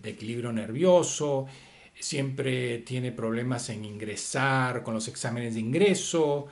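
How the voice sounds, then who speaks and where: A man giving an unscripted philosophy lecture, pausing often with fillers and asking rhetorical questions. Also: mid-range voice.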